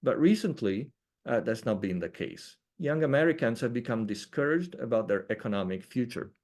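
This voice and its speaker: A man from Italy giving a webinar lecture as an economist, halting with frequent fillers but emphatic. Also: mid-range voice. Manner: hesitant, many uh fillers, lively emphasis. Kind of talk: lecture presentation. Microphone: video call.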